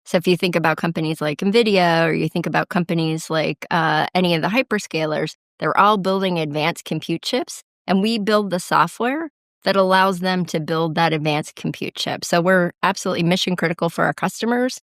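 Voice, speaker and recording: Middle-aged Midwestern woman, interview guest, gravelly low voice, dry close sound. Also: a little fast, no room sound. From Midwest US, Ohio. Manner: thoughtful, many fillers and restarts. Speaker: guest, chief financial officer. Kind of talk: conversational interview answers.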